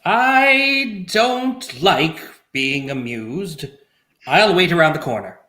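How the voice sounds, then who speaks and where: A man playing a fussy, humourless would-be lodger in a play, stiff, deliberate and pompous. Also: a little high, very animated, sharp tone, full character voice. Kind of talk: play table read. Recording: echoey room sound.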